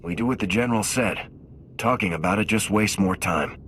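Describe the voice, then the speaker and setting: Casting: actor as a calm, dutiful lieutenant, firm and bright-toned. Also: male voice, lowish voice, fairly quick, a little hiss or hum, lightly acted. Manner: level, firm, restrained. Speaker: army lieutenant.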